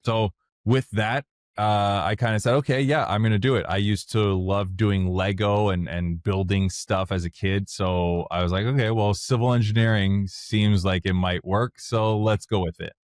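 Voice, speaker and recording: A deep-voiced man, a French speaker by birth, talks openly about his own past in a casual interview. His voice sits low and sounds close and dry, with no room around it. He speaks frankly and works his thoughts out as he goes. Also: fairly even intonation, normal speed, dry recording. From Canada (Montreal). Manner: candid, plainspoken, thinks aloud as he talks. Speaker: guest, software founder.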